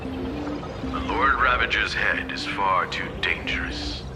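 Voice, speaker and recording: A man playing a dignified old gentleman envoy in a video game, formal, grave and measured. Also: on the low side, over music. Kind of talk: dramatic video game voice acting.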